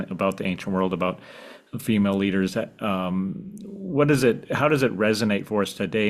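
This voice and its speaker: Lower-pitched man hosting an interview podcast. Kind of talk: conversational interview questions.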